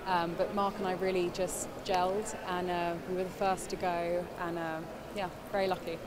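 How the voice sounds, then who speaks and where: A woman's voice: lowish voice, flat delivery, moderate pace, crowd noise. A woman in her twenties interviewed at a busy indoor event, bubbly with many fillers. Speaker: actress interviewed. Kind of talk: casual interview. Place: large indoor hall, event. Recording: loud hall noise.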